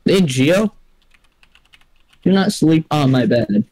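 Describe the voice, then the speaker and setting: A man with a somewhat high, lively voice teases his friends over voice chat in the middle of a game. He talks slowly, drawing his words out, and his pitch swings up and down as he jokes. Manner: teasing, laughing. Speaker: gamer in voice chat.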